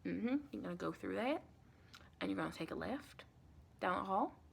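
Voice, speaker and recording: An American woman playing a sweet, reassuring vampire in a chatty, rambling ASMR roleplay, with a creaky voice. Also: medium pitch, expressive, moderate pace, full character voice. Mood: pleased, encouraging. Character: sweet, reassuring female vampire welcoming a new recruit.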